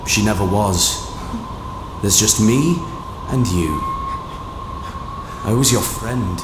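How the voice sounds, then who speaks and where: A man acting in an audio drama as the vengeful, accusing ghost of a dead friend, speaking in taunting, deliberate questions. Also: on the low side. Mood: cold, taunting. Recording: roomy echo with clear noise.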